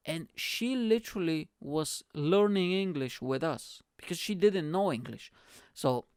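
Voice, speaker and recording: A man hosts a casual podcast, telling animated stories and acting out the people in them. His voice sits a little high and carries a gravelly fry, yet stays smooth and resonant. The recording is dry and close. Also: no echo. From Bulgaria. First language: Bulgarian. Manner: animated, laughs often, acts out quoted people. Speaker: podcast host. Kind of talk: casual storytelling monologue.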